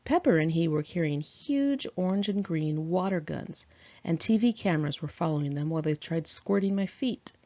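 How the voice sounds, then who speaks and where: A woman narrates her own memoir with a low, gravelly fry in her voice. She is candid and sassy, talking as if chatting to friends. The tone is dark and mellow, caught on a slightly dull home recording. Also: animated, normal speed. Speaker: author reading own memoir aloud.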